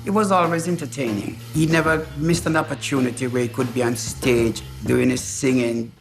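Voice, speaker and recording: A man's voice: medium pitch, fairly even intonation, moderate pace. An older man, a father, speaking plainly in a documentary interview and quoting his own past words. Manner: plainspoken, quotes himself in dialogue. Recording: music bed under voice.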